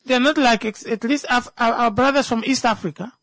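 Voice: mid-range voice, a little fast, fairly bright tone. This is a speaker with a Namibian accent and a native local language, in an unscripted discussion. The delivery is emphatic, with phrases repeated for stress, and the sound is dry with no room echo.

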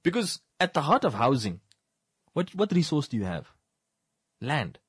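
A man's voice: a little high, big pitch swings, moderate pace, slightly rough, dry recording. Namibian man building a point, dry mic. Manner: lists points in building sequences, then drops to a quiet wrap-up. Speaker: talk show co-host. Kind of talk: casual panel discussion.

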